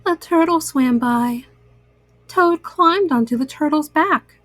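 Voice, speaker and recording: High-voiced woman reading slowly to children. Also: a bit bright, no room sound, clean studio sound. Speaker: children's storyteller. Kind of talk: read-aloud story narration for children.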